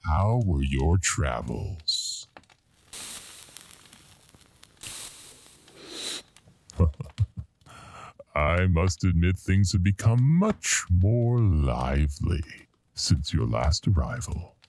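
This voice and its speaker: An extremely low male voice narrates horror radio drama as an ominous, otherworldly storyteller. He is solemn and theatrical, with wide swoops of pitch. There is faint noise behind him. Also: big pitch swings, slow pace, a little mellow, heavily acted. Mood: welcoming, ominous. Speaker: anthology narrator.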